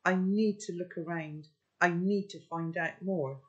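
A woman's voice: on the low side, fairly even intonation, unhurried, dull audio, close to an everyday voice. An older woman voicing a stubborn, curious young girl who pleads insistently. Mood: pleading.

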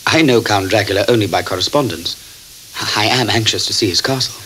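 An actor playing a polite, businesslike gentleman traveler in a radio drama, speaking in a formal, measured way. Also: fairly low pitch, low-quality recording. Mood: politely firm. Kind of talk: radio drama acting with first-person narration. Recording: hissy 1979 cassette tape recording.

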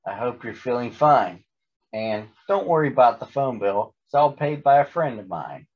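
A man's voice: mid-range voice, lively intonation, normal speed, husky, lo-fi sound. A man voicing a smug, chatty male storybook monster who gabs breezily and is devoted to his mother. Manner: gabbing and jabbering, breezily dismissive.

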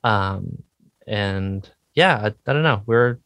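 A man's voice: on the low side, animated, takes it slowly, a bit bright, no room sound. A man speaking as a musician guest in a casual interview, hesitant and self-deprecating in how he talks. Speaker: musician guest, indie rock songwriter.

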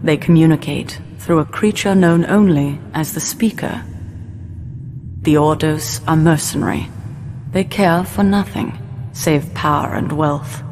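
A solemn science-fiction game narrator with a very low voice. She speaks deliberately and ominously, in long reverb over a noisy ambient bed. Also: a little mellow. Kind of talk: scripted cinematic narration.

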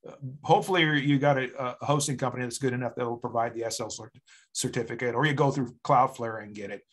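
Male meetup presenter, mid-pitched, plain-spoken and encouraging, halting tutorial delivery with repeated words. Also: husky. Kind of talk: informal software tutorial.

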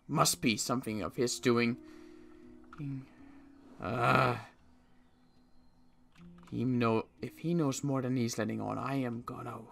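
A man's voice: mid-range voice, gravelly, sharp tone, slight background noise, heavily acted. A man playing a suspicious, agitated apocalypse survivor in improvised game roleplay, muttering to himself with stammers and repeated words. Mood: brooding, suspicious.

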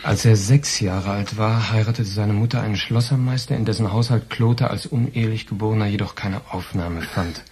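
Mellow, matter-of-fact police subordinate. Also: male voice, lowish voice, steady tone, moderate pace, few pauses, husky. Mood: dry, official. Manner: dry, official, matter-of-fact. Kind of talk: naturalistic German radio crime drama acting.

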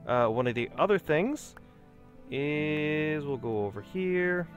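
Man, slightly higher voice, casual game commentary, talks to himself while explaining, soft music under. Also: animated, slow pace, muffled recording. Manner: chatty, self-talking, explaining as he plays. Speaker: gaming video host.

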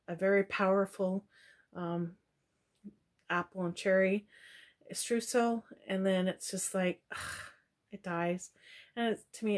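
A middle-aged woman talks casually to her webcam in a small, dim room at home. Her chat is relaxed and rambling, with a tired, slowing-down feel as she gives her opinions one after another. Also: mid-range voice. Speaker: product reviewer. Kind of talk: casual product review chat.

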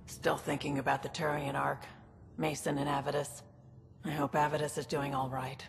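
Wry young alien crewmate, deep female voice, gravelly edges, casual, reflective, game dialogue. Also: little pitch movement, normal speed. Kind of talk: video game voice acting. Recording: long reverb, faint music behind.

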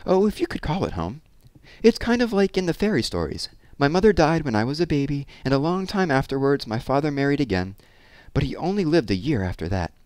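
A man's voice: a little high, sing-song, fairly quick, darkish tone, no echo, pitched above the performer's own voice. A man voicing a chatty, wistful, kindly hairdresser woman with a folksy, exclaiming manner in an audiobook story. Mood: reminiscent.

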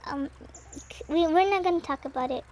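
Someone voicing a high-pitched, cheerful older sister. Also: fairly quick, noisy background, natural voice. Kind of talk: amateur voiced family roleplay.

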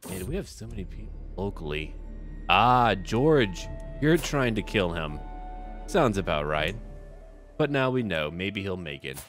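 Deep-voiced man doing gameplay commentary over music. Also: big pitch swings, measured pace, fairly bright tone. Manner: musing aloud in short clipped remarks. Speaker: host of a gameplay series. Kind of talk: casual gameplay commentary with light roleplay. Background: background music.

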